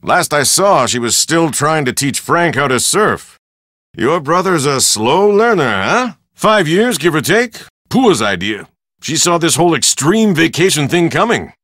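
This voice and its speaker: An actor playing a brash, easygoing middle-aged Hawaiian surf shop dad in a video game, talking in colloquial, clipped phrases. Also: male voice, fairly high pitch, big pitch swings, a little slow, a bit bright, no echo, clean studio sound, heavily acted. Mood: easygoing, upbeat. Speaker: game character: beach shop owner.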